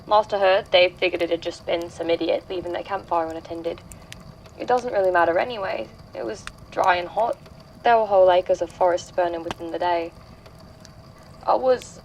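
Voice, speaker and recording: A woman performs an anxious young woman giving a statement in an audio drama. Her mid-pitched voice is conversational and confiding, with halting hesitations as she recounts what troubles her. Some ambient noise sits behind the voice. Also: darkish tone, muffled recording, lightly acted. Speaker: voice actor in a fan fiction audio drama. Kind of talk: dramatic monologue, conversational first-person statement.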